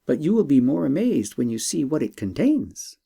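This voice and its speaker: A man acts out a young fairy-tale princess, earnest and practical. The pitch is lifted and moves freely, and the formal words are delivered with lively expression. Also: normal speed, creaky, slightly rough, full character voice. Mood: confiding. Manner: expressive, formal storybook diction. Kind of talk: audiobook storytelling.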